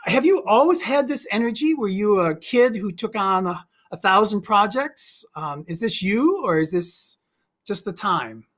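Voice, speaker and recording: A man's voice: sits high, expressive, measured pace, fairly bright tone. Guy hosting a chat on a video call, gushing, asks rambly questions. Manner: enthusiastic, complimentary, rambling questions. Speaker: host. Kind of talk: casual interview chat.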